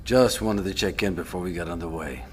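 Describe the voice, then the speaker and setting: A man playing a calm, conspiratorial space fleet general in a science fiction audio drama, knowing and understated. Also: sits low, a little fast, natural voice. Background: music.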